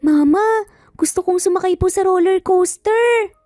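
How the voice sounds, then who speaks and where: A woman voicing an excitable eight-year-old boy in a Tagalog story, with whiny, insistent childlike pleading. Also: very high voice, normal speed, clean studio sound, pitched above the performer's own voice. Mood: eager, pleading. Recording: faint music, roomy echo.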